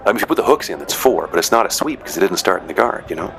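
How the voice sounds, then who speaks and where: A man's voice: sits low, animated, very fast, vocal fry, fairly bright tone, poor audio. A man casually narrating footage of matches he refereed, chatty and rambling with dry joking asides. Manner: rambling, chatty, frequent "you know" and "uh". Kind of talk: casual commentary.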